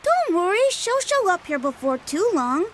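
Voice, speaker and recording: A man's voice: very high voice, big pitch swings, normal speed, fairly bright tone, slight background noise, heavily acted. An actor playing a friendly, teasing young boy adventurer in an English video game dub.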